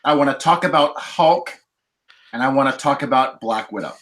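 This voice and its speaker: A man in a casual roundtable discussion, laying out his points as a podcast panelist in deliberate, repeated phrases. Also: mid-range voice, expressive, a bit bright. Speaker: podcast panelist reviewing films.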